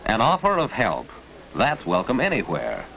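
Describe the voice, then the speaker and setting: A male actor as the knowing, approving narrator of a 1940s educational film, deliberate and instructive, with an American accent. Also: on the low side, huge pitch swings, vocal fry, pushed and bright, full character voice. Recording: dull lo-fi 1940s film soundtrack.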